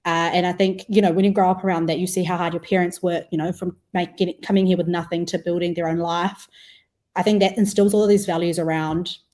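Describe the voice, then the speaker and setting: A woman's voice: lowish voice, level tone, quick pace, darkish tone. A woman in her twenties with a New Zealand accent, chatting casually as an interview guest. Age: 20s. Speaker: podcast guest, food entrepreneur.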